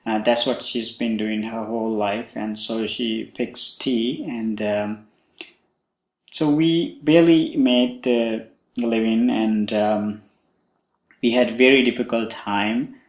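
A young man from Sri Lanka, a Buddhist monk hosting a podcast, talking slowly with many pauses and fillers about his life. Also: lowish voice, fairly even intonation, roomy sound. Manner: slow, halting, many fillers. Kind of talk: casual spoken monologue.

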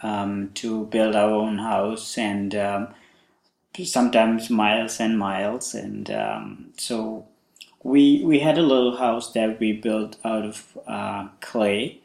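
A young man from Sri Lanka, a Buddhist monk hosting a podcast, talking slowly with many pauses and fillers about his life. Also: lowish voice, animated, vocal fry, darkish tone, echoey room. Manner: slow, halting, many fillers. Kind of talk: casual spoken monologue.

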